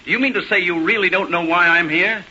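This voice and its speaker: An excitable, wisecracking reporter in old American radio drama. The voice is high and piercing, pushy and eager. The recording is dull and faintly hissy. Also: male voice, fast, heavily acted. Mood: brash, pushy. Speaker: actor in a radio play.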